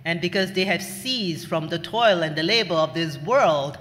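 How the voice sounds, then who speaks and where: A man interpreting a sermon consecutively, delivering it in short, separate, measured phrases. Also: a little high, expressive, a bit bright. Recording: reverberant hall sound.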